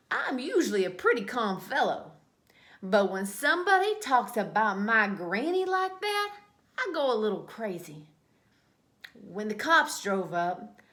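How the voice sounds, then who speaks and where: A woman's voice: mid-range voice, fairly bright tone. A woman voicing a self-justifying, folksy male wolf in a children's storybook read-aloud, with dramatic mock-innocent delivery. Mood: aggrieved. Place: small indoor room at home.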